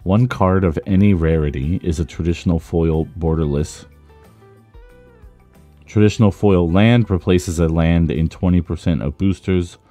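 A man speaks in a very deep, dark-toned voice that is a little rough from heavy use. He gives casual, off-the-cuff commentary while opening trading card packs. Guitar music plays behind him. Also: normal speed. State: slightly rough voice from talking a lot. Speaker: trading card content creator.

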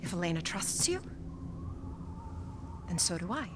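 An actress playing a calm, protective middle-aged village woman who speaks firmly and reassuringly, in a video game. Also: lowish voice, a little slow, noisy background. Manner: firm, reassuring, hushed at times. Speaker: game character.

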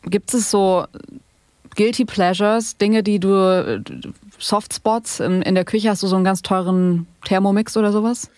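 A woman's voice: mid-range voice, steady tone, normal speed, darkish tone, no echo. Casual woman podcast interviewer, dry sound.

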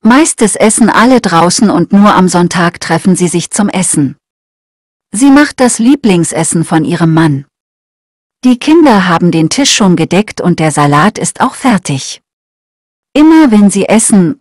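A woman reading short learner texts aloud in a slow, clear, scripted way. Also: medium pitch, a little mellow, no room sound, studio quality. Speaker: German lesson reader.

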